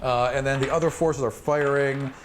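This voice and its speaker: A man narrating a tabletop fantasy game as game master, improvising in an animated way with spoken sound effects. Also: medium pitch, normal speed, noisy background.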